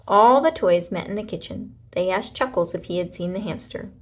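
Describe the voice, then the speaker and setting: A woman narrating a children's storybook aloud in an expressive way, with deliberate dramatic emphasis. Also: fairly low pitch, slight background noise.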